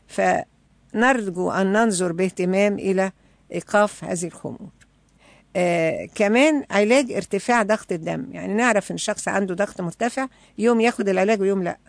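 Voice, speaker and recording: Egyptian woman lecturer with a creaky voice. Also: mid-range voice, no room sound. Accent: Egyptian Arabic. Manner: didactic, switches between formal reading and colloquial explanation. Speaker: health lecturer. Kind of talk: educational health lecture, partly read from notes.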